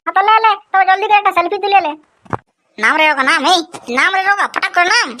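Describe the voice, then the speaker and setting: Cartoon schoolboy, played by a woman: brash and mischievous, extremely high voice, rapid-fire Purulia Bengali accent, slangy boasting, slight background noise. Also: bright, forceful tone. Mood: breezy, hurried. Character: cocky, mischievous village schoolboy, brash and carefree. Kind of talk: comic cartoon dialogue.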